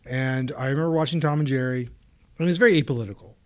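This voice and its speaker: A man's voice: medium pitch, smooth. A man in his forties, a native Russian speaker who grew up in the Soviet Union, telling stories as a podcast guest with many restarts and trailing asides. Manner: rambling, halting storyteller with many restarts. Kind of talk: casual conversation.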